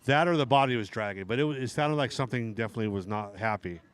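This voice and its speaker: Hoarse man commentating an RC race. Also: medium pitch, fast talker. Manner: casual, rambling banter with restarts. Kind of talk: live event commentary. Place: outdoor race track.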